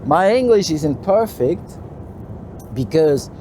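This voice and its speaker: A man from Portugal with a Portuguese accent, a truck driver talking casually to his viewers, halting and repeating himself, with "you know" tucked into most lines. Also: fairly high pitch, very animated, warm tone, a little hiss or hum. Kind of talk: casual talk to camera while driving. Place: truck cab on the road.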